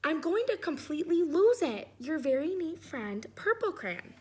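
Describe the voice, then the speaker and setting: A woman's voice: high-pitched, very animated, normal speed, a little mellow, pitched above the performer's own voice. A woman voicing a neat, fussy, exasperated purple crayon in a storybook, indignant and emphatic.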